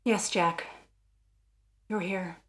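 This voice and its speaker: A woman's voice: on the low side, steady tone, very slow, fairly bright tone. A woman playing a frightened, desperate wife in an old-time radio melodrama, urgent and hesitant, as if fearing for her life. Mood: shaken, subdued. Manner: breathless urgency, hesitant stammering.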